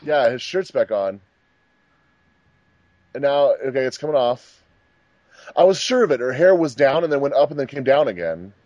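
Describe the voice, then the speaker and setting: A man joking around in casual group commentary while watching a movie, quick to point things out. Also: medium pitch, sing-song, a little mellow, no room sound, dull audio. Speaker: co-host.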